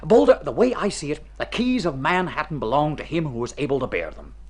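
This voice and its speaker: An actor playing a well-bred amnesiac gentleman bluffing under a false name, by turns hesitant and grandiloquent, in golden age radio drama style. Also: fairly high pitch, big pitch swings, a little fast, husky. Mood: grand, exhilarated. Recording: noisy vintage radio drama recording.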